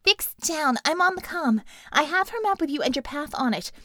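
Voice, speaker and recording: Woman acting a high-pitched, urgent young squad guide. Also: lively intonation, normal speed, sharp tone, no room sound, clean studio sound. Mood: urgent, terse. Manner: clipped, commanding. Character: urgent bossy young woman guiding a squad over comm.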